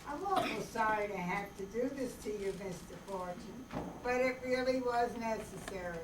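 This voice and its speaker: A distant, echoey recording with heavy noise carries a radio-play gunman acted by a woman. The character is courteous even while threatening, lovesick and folksy in phrasing. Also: on the high side, moderate pace, lightly acted. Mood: polite menace. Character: folksy, polite, lovesick male gunman named Marvin. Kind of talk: old-time radio drama acting. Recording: roomy, noisy, distant microphone stage recording.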